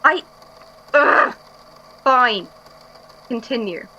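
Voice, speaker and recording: Woman playing a bright, high-voiced impatient archivist. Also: animated, extremely slow, muffled recording, exaggerated voice. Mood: flustered, indignant. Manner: formal when recording, spluttering when challenged. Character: easily flustered, impatient statement-taking archivist interviewer. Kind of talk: audio drama dialogue.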